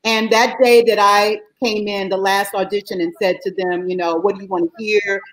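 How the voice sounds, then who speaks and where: A middle-aged woman telling a backstage story in casual chat on a video call, animated and laughing as she goes. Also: medium pitch, moderate pace, rough recording. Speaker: guest performer.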